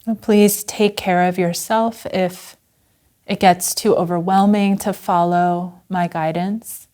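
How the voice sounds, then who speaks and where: A woman Zen teacher leading a guided meditation in a gentle, unhurried manner with long pauses. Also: on the low side, steady tone.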